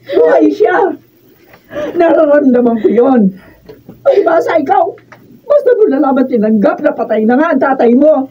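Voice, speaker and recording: A woman's voice: high-pitched, expressive, normal speed. A Filipino woman playing an emotional, insistent grieving wife and mother who clings to hope, in a radio drama. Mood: indignant, tearful. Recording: muffled, distant mic, background noise.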